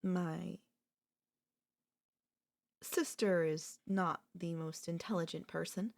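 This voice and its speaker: A woman acts out an obsessive older sister-in-law for an ASMR roleplay. Her voice sits a little low for a woman. She coaxes and chats sweetly, with calm, unapologetic menace underneath. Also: slow, vocal fry. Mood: calmly confessional. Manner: coaxing, chatty, calmly unapologetic. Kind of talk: ASMR audio roleplay acting.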